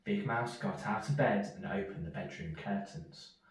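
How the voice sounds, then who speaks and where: A man reading a children's story aloud in an expressive storyteller's manner. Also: fairly low pitch, moderate pace. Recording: echoey room, distant from mic.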